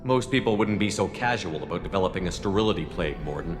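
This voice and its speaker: A man's voice: sits low, expressive, fast talker. A man playing a firm, level-headed human soldier in a video game, direct and probing. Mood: challenging. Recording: reverberant hall, music underneath.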